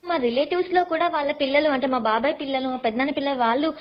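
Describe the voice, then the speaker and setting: A woman's voice: high voice, racing. A young woman seeking advice, explaining her situation in an earnest, pleading way, recorded with dull sound. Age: young adult. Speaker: caller seeking relationship advice. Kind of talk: personal explanation in conversation.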